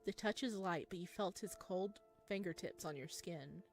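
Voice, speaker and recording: A woman's voice: lowish voice, normal speed, darkish tone. A woman doing a casual let's-play, reading game narration aloud dramatically and commenting as she goes. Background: music.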